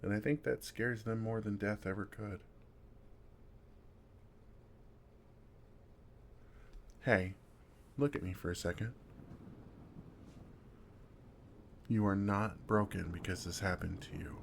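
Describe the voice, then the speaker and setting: Man acting as a gravelly, deep, gentle vampire. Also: steady tone, slight background noise. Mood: earnest, firm comfort. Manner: soft-spoken, reassuring, gently teasing with pauses. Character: kind, wry older male vampire comforting a fledgling. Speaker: ASMR roleplay performer. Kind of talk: intimate ASMR roleplay, second-person comforting.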